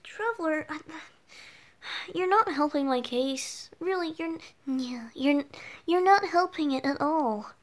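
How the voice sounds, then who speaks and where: A woman voicing a flustered, bashful boyish bard with cat ears in an ASMR roleplay, halting and stammering as the character talks to the listener. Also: high voice, animated. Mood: embarrassed, protesting. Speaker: audio roleplay performer.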